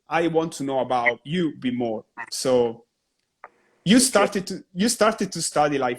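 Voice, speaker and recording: A man hosts a live stream over a video call, talking in a chatty, enthusiastic way. His voice sits a little high for a man. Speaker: host. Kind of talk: live stream interview host.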